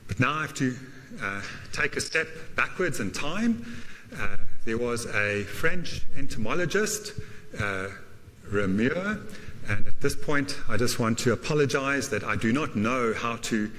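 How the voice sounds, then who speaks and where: A man whose first language is German gives a university lecture, halting often with fillers and adding self-deprecating asides. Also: medium pitch, expressive, bright, forceful tone, slight background noise. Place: lecture hall. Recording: lecture hall reverb.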